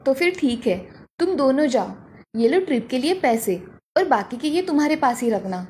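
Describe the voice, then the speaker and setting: A woman voicing a caring mother of two grown daughters in a cartoon story, speaking gently and turning firm when she warns them. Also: high-pitched, big pitch swings, moderate pace, soft background music.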